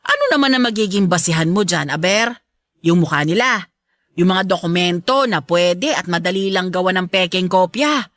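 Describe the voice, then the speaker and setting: A woman's voice: fairly low pitch, animated, normal speed, fairly bright tone, no room sound. A woman playing a protective, frugal, aging mother in a radio drama, chatty and affectionately stubborn. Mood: stubborn, wary. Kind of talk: Filipino radio drama acting.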